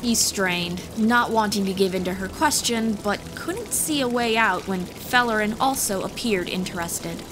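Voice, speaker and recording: A woman's voice: medium pitch, moderate pace, hardly any pauses, noisy background. A woman reading scripted fantasy story narration as the narrator of an audiobook. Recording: music behind the voice.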